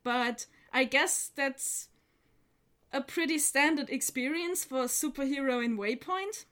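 A woman's voice: high voice, unhurried, fairly bright tone. A woman playing a tabletop game, wry and teasing in casual talk. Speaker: player. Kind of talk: casual tabletop roleplay chat.

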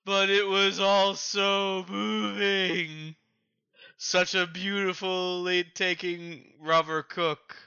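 A man's voice: high voice, flat delivery, takes it slowly, creaky, pushed and bright, clear, resonant tone, highs cut off, pitched above the performer's own voice, heavily acted. A man playing a sentimental, anxious pirate sidekick in an amateur manga reading, with melodramatic emphasis. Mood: blubbering.

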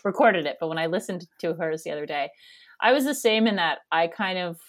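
Casting note: Canadian woman in her thirties, interview guest, loud nasal voice, chatty and rambling, lower voice. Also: expressive, fairly quick. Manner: chatty, rambling, laughs often. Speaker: guest, theater education director. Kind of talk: casual interview conversation.

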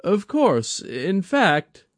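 A man voicing a young woman who keeps a shrine, bright, courteous and eager, in a high put-on voice. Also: huge pitch swings, drawn-out words, no echo, clean studio sound, exaggerated voice.

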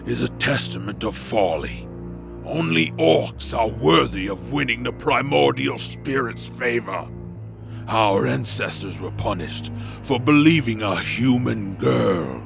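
A man's voice: medium pitch, expressive, slow, heavily acted. A man playing a proud, scornful orc warrior in an audio drama, speaking formally and pompously over music. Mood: solemn, imposing. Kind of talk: family audio drama acting. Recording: voice under clear background music.

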